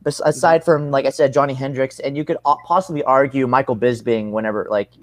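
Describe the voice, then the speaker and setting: A man's voice: mid-range voice, steady tone, fast. A young man giving casual interview answers as a combat sports athlete, earnest and rambling, often rephrasing himself.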